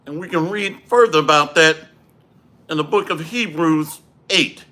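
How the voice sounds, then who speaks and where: Slow, forceful man with a dry throat. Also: fairly high pitch. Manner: slow, measured preaching with Hebrew phrases. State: dry throat, clearing it often. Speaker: Bible teacher. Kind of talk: livestreamed Bible lesson. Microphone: livestream microphone.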